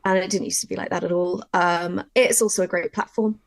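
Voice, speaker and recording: A woman's voice: lowish voice, fairly even intonation, a little fast, dry recording. A woman writer chatting informally and encouragingly in a conversational workshop discussion about her craft. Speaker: audio drama writer giving a workshop.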